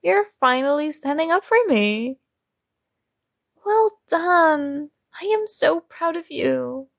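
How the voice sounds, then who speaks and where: A possessive young princess, sweetly controlling and teasing, as a woman plays her in an ASMR roleplay. Her voice sits a little high with a gravelly fry, and she takes her words very slowly. It is recorded close and dry. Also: expressive, no room sound, full character voice. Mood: sweetly commanding. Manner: soft, slow, coaxing and teasing.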